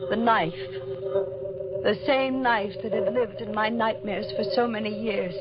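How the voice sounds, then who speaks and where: An actress playing a guilt-ridden, haunted wife in an old-time radio melodrama, shifting between brooding narration and anguished pleading. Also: female voice, fairly high pitch, fairly even intonation, unhurried, muffled recording, over loud music, close to an everyday voice. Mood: dark, brooding.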